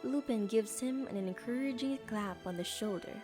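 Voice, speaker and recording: A woman's voice: fairly high pitch, moderate pace, over music. A woman reading scripted story narration as the narrator of an audio drama.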